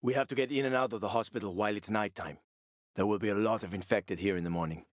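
A man's voice: lowish voice, fast talker, no room sound, natural voice. A man acting a wry survivor, dry close recording. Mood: urgent. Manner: casual, sardonic, conversational. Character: wry, world-weary male survivor and smuggler. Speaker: video game voice actor. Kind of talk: video game character dialogue.

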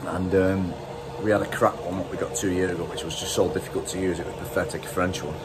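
Blunt, rambling British mechanic, forties, filming himself on a phone, noisy workshop behind. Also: male voice, low-pitched, level tone, fast. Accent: British English. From United Kingdom. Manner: blunt, chatty, rambling with fillers. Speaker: car mechanic vlogger. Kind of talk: casual vlog chat. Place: indoor car workshop. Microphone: phone held at arm's length.

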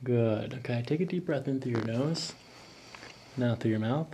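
A man in his thirties, a chiropractor, calmly giving coaxing hands-on instructions to a patient. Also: mid-range voice, moderate pace, background noise. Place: small office, indoors. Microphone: microphone on boom stand.

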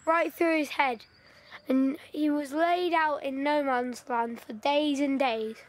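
Eager chatty wartime boy, high voice, woman in the role; audio play. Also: steady tone, slow pace. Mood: eager storytelling. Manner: eager, storytelling, pushy.

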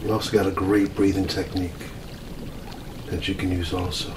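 Man playing eager mad scientist doctor, middle-aged, lowish voice, musing in short phrases, distant mic, loud background noise, ASMR roleplay. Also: flat delivery, moderate pace, reverberant, lightly acted. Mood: musing, checking. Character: eager, self-important male mad-scientist doctor reviving future soldiers.

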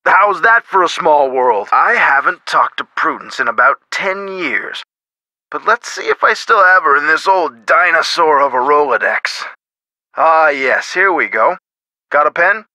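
Bright-voiced, chummy middle-aged lawyer. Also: male voice, a little high, lively intonation, measured pace, dry recording, heavily acted, rough recording. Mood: jovial. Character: genial, avuncular middle-aged male lawyer and museum board member. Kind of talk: video game voice acting.

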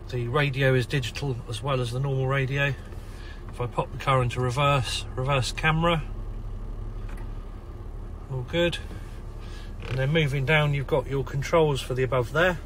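A man with a croaky, hoarse voice and a British accent, casually talking through a car's features while filming in an indoor showroom. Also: medium pitch, slight background noise. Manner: relaxed, chatty listing of features. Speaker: car salesman. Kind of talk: walkaround car sales video. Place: indoor car showroom with tiled floor.

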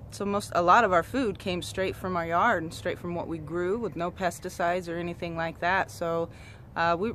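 A woman's voice: on the low side, a little hiss or hum. Chatty woman giving an outdoor farm tour. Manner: chatty, with frequent restarts and ums. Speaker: goat farmer and channel host. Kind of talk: casual farm tour vlog. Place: outdoors on a dry rural homestead. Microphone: camera held at arm's length, then walking behind it.

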